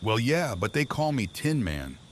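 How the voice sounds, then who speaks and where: A man's voice: mid-range voice, sing-song, unhurried. A man playing a timid, naive underling in an audio comic, speaking in a hesitant, guileless way. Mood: naive worry. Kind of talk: audio comic dramatic acting. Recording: faint noise with night crickets.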